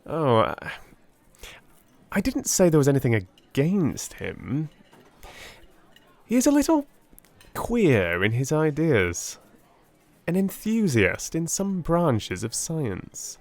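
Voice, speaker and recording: A man voicing a hesitant, animated young Victorian gentleman. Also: on the high side, slow pace, pauses often, voice pushed up, heavily acted. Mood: hesitant, guarded. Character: hesitant, gossipy young gentleman, a doctor's acquaintance. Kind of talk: dramatised Victorian audiobook dialogue.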